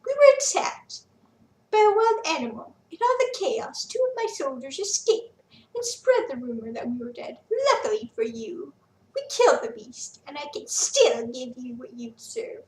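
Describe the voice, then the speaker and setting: A woman's voice: sits high, big pitch swings, moderate pace. A woman reading a storybook, putting on the voice of a cruel male Roman general who gloats and mocks, in a roomy echo from a distant mic. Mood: menacing. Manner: sinister, mocking.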